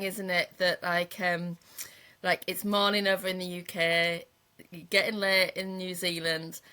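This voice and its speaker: Woman, podcast host, bright tense tone, casual fillers. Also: on the low side. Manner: chatty, loose phrasing with fillers. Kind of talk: casual interview chat.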